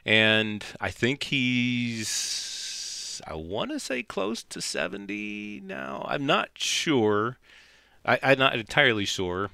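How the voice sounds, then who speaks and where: A man's voice: medium pitch, sing-song, takes it slowly, gravelly, sharp tone, smooth, no room sound. A middle-aged man hosting a podcast in casual chat, rambling and chuckling as he talks. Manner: rambling, chuckling, self-correcting. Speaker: podcast host.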